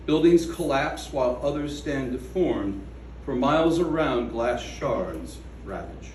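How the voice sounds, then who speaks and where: A man reading his own poem aloud at a gallery event, slow and measured, with falling line endings. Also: medium pitch, darkish tone, slight background noise. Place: art gallery room. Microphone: away from the microphone. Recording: echoey room from a distance.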